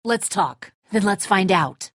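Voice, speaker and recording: A woman's voice: mid-range voice, lively intonation, slow, fairly bright tone, no echo, clean studio sound. An actress playing a tense, defensive woman who leads a group of survivors in a dramatic video game, blunt, confrontational and exasperated. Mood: firm, testy.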